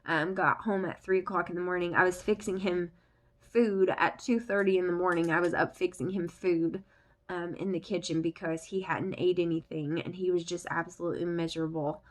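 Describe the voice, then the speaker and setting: A woman's voice: fairly low pitch, fairly even intonation, normal speed. A young woman vlogging casually, rambling with lots of fillers, her voice still congested from the flu. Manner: chatty, rambling with many fillers. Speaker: family vlogger. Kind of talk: casual chat to camera.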